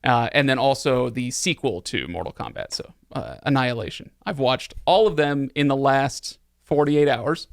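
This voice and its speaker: A man hosts a casual podcast in a medium-pitched voice. He banters in a tongue-in-cheek, joking way, and the sound is close and dry, with no room to it. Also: animated, moderate pace, creaky, few pauses, no echo. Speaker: podcast host.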